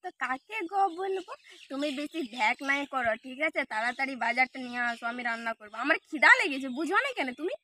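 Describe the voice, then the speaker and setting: A woman's voice: high-pitched, expressive, fast talker, pushed and bright. A woman, nagging and scolding insistently in a casual prank phone call. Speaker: prank caller posing as the wife of the person called.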